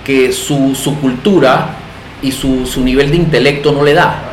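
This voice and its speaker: A man's voice: mid-range voice, fairly even intonation, measured pace. Middle-aged Panamanian man, echoey room. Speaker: interview guest, banker. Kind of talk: casual interview conversation, storytelling. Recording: echoey room, faint background noise.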